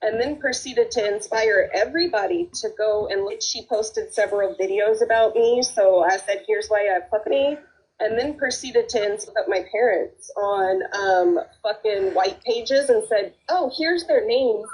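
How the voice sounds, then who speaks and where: A woman's voice: medium pitch, measured pace, darkish tone, breathy edge, rough recording. A woman in a profane, sarcastic livestream rant. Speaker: livestreamer in a drama dispute.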